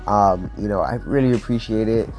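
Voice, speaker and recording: A man's voice: on the low side, brisk, darkish tone, faint music behind. A man in his twenties from Florida, talking as a podcast host in a casual, motivational monologue, earnest and upbeat, with many fillers like "like" and "um". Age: 20s.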